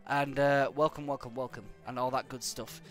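A young man with a British accent and a croaky voice from hay fever, chatting to viewers in a rambling, jokey way while he plays a game live. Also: mid-range voice, steady tone, moderate pace, faint music behind. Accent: British English. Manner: rambling and jokey, hums nonsense syllables. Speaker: game streamer.

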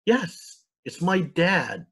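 A man's voice: high voice, sing-song, drawn-out words, a bit bright, husky, pitched above the performer's own voice, exaggerated voice. A man voicing a cheerful, caring young girl in a storybook, upbeat and earnest.

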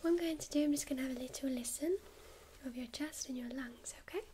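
A woman with a high, airy voice whispers close to the microphone in a soothing medical check-up roleplay. She talks gently and reassuringly, guiding the listener through each step, with a faint hiss behind her. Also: fast. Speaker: ASMR creator playing a first-aid medic.

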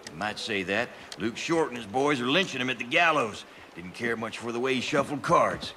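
A man's voice: medium pitch, lively intonation, normal speed, pushed and bright, slight background noise. An actor playing a wry, laconic frontier townsman with a dry, understated delivery in a Western video game.

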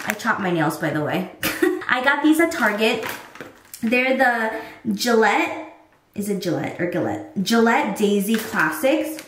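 A woman's voice: mid-range voice, slight background noise. Chatty woman vlogger in a roomy space. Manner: chatty, rambling, lots of fillers. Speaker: lifestyle vlogger. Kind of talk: casual vlog chat. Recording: roomy, mic at a distance.

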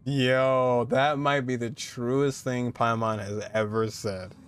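A man's voice: medium pitch, fairly even intonation, takes it slowly, a little hiss or hum. A young man in his twenties casually commenting as he reacts to a game, informal and emotionally invested. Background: game music.

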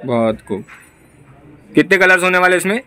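A man's voice: sits high, animated, normal speed, bright, forceful tone, smooth. A man with an Indian accent hosting a shopping vlog, talking to viewers and repeating back what he is told. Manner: repeats the seller's answers back. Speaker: vlog host. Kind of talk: market shopping vlog, interviewing a seller and addressing viewers. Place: small clothing shop, indoors.

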